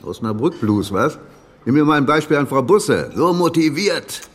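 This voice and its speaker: Gruff, sardonic police inspector played by a man. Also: fairly high pitch, expressive, moderate pace, lightly acted. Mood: wry, bossy. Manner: wry, brusque, colloquial. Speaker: police detective. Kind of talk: German radio crime drama acting.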